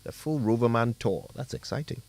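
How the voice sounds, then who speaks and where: A man hosting a morning radio show, animated and quick to laugh. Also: medium pitch, gravelly. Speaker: radio host.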